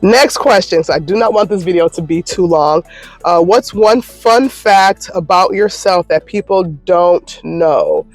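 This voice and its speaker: An upbeat woman in her thirties talks casually and warmly to her viewers, chatty and encouraging. She records from a parked car into a phone, and the sound is close and dry. Soft music plays faintly underneath. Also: on the low side, unhurried, dry recording. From Michigan, United States. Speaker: YouTuber. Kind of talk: casual Q&A vlog. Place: parked car in a parking lot.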